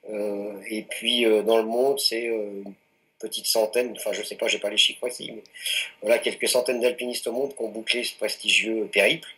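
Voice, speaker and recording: A man in his late forties from France's Anjou region, a mountaineer answering interview questions in a relaxed, hesitant way with many fillers. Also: on the low side, moderate pace, rough recording. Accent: French. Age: 40s. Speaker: mountaineer guest.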